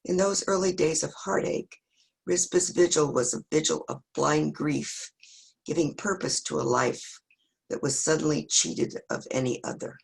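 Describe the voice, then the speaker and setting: A woman's voice: low voice, steady tone, normal speed, creaky, husky, rough recording. A woman reading a scripted, dramatic narration of a Bible story as a church reader.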